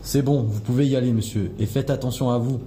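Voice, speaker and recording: A man voicing a stern male police officer who speaks formally and gravely. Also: medium pitch, fairly even intonation, fast talker, warm tone, echoey room, a little hiss or hum, pitched below the performer's own voice, close to an everyday voice.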